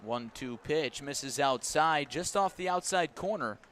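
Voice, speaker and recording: A man's voice: fairly high pitch, lively intonation, a little slow, a bit bright. A man giving live radio play-by-play of baseball, in clipped descriptive phrases that rise with the action. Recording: noisy outdoor ballpark ambience.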